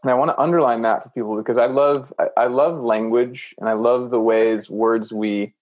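A man's voice: mid-range voice, fairly even intonation, moderate pace, a little mellow, lo-fi sound. A man hosting a podcast interview, reflecting back what his guest says and checking his understanding. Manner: reflective, paraphrases guest, frequent "mm" affirmations. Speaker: podcast host. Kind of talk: conversational interview.

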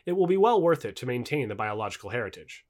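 A man's voice: mid-range voice, very animated, quick pace, darkish tone, lightly acted. A man voicing a confident male futurist who patiently explains and corrects in an audio book dialogue. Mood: explaining.

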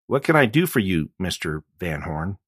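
A man's voice: deep voice, big pitch swings, normal speed, fairly bright tone, husky, no room sound, studio quality, heavily acted. A man reading the part of a cool, firm female detective in her forties in an audiobook, wry and official. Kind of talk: audiobook character dialogue.

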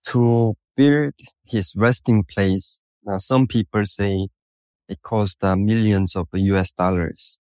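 Low, dry-miked Korean-accented man. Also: steady tone, a little mellow, clear, resonant tone, no room sound. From North Korea. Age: 30s. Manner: halting, thoughtful, explanatory. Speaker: podcast guest recounting his life. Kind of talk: interview answers.

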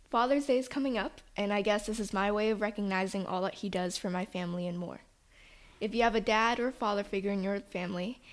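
A young woman hosting a casual podcast, upbeat and friendly as she interviews her father on a shared microphone. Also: medium pitch. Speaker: podcast host.

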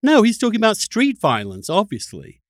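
A man hosting a conversational political interview, probing and debating with halting, repeated phrasing. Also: sits high, wildly swooping pitch, no echo, studio quality. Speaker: podcast host.

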